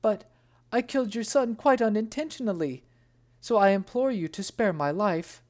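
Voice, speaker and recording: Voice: medium pitch, moderate pace, darkish tone, voice pushed up, close to an everyday voice. A storybook reader voicing a terrified merchant who pleads in a raised, trembling voice. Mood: pleading.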